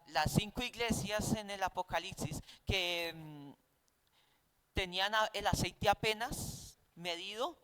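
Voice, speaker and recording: Male Bible teacher, Colombian accent, high smooth voice, conversational preaching with rhetorical questions. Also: fairly bright tone.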